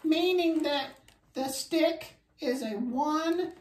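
A woman's voice: sits high, slow pace, a little mellow, far from the mic, voice pushed up. A woman voicing a friendly, curious, childlike monster puppet on a children's story time show, with simple, eager replies. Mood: cheerful. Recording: roomy home recording.

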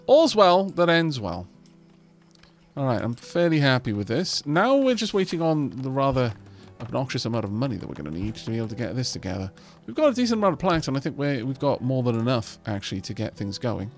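Lively Welsh-native man narrating gameplay. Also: mid-range voice, creaky. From Wales. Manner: chatty, talks to his settlers, self-deprecating. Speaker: let's play host. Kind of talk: casual gameplay commentary.

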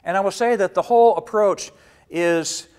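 Older man, fairly high voice, measured and explanatory, restarts phrases, lapel mic, studio. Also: darkish tone, smooth. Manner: measured and explanatory, gesturing with both hands, frequent restarts. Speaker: data warehouse instructor. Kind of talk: explaining a training course to camera.

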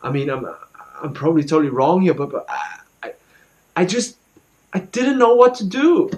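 Man acting anxious young student, haltingly confessing. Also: on the high side, sing-song. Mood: defensive, pleading. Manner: halting, hesitant, repeating words. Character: anxious, guilt-ridden student confiding about a troubled friend. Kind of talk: dramatic stage monologue.

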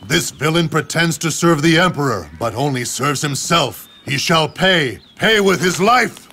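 Noble rallying lord in a video game, impassioned, forceful, bit of gravel. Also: male voice, a little high, animated, a little slow, faint music behind, exaggerated voice. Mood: righteous anger. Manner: impassioned, declamatory. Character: righteous, fervent male ancient Chinese lord rallying followers. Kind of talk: dramatic game cutscene acting.

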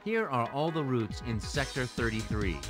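Lively American male educational narrator. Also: mid-range voice, music in the background. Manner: clear, explanatory, measured phrasing. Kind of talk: scripted educational video narration.

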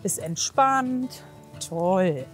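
A woman's voice: fairly low pitch, animated, extremely slow, faint music behind. A woman working as a horse physiotherapist, chatting casually and encouragingly outdoors, with colloquial praise and coaxing. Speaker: horse physiotherapist assessing a rider and mare.

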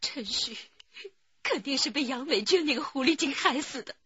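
A woman's voice: high-pitched, normal speed, bright, forceful tone, no echo, lo-fi sound. A woman acting an emotional, accusatory wife with a cheating husband in a dramatic radio play. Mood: grieving, tearful. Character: furious, wailing wife hunting her cheating husband.

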